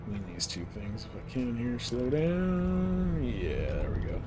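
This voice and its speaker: A man's voice: wildly swooping pitch, a little slow. A man giving casual live commentary as he streams a space game, chatting to viewers with mild swearing. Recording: clear background noise, game engine rumble. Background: spaceship game sounds.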